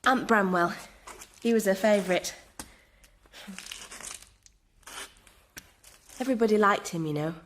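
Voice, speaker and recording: Female actor for radio play: candid Victorian village lass, mid-pitched, chatty and unaffected, faint background noise. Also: quick pace, close to an everyday voice. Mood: fond. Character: plain-spoken, friendly Victorian village young woman. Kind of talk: radio drama dialogue.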